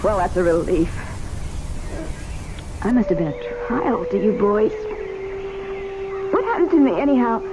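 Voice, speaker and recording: A woman's voice: mid-range voice, big pitch swings, unhurried, pauses often. An actress playing a young woman recovering from illness in a radio drama, light and chirpy. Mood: cheerful. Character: recovering sick young woman, hungry and relieved. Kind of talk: 1970s radio drama acting. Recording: old radio broadcast with hiss.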